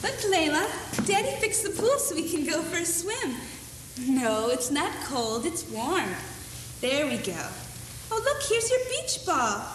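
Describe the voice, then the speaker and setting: A young actress plays a fragile grieving mother with a high voice that swings widely, halting, repeating herself and drifting into a dreamy sing-song. She is heard from far away across an echoey school auditorium with a long ring-out. A steady hiss sits underneath. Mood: motherly. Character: grieving mother Faye, fragile and clinging to a doll. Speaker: actor in a school play. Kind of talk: amateur stage acting. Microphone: distant room mic. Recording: echoey hall from far off, hissy.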